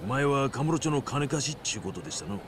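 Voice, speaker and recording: An actor playing a middle-aged yakuza captain in a video game, gruff and swaggering, with a rough Hiroshima accent and a scolding, loyal temper. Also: male voice, mid-range voice, animated, fast, noisy background, lightly acted. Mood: curt. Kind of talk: Japanese video game voice acting.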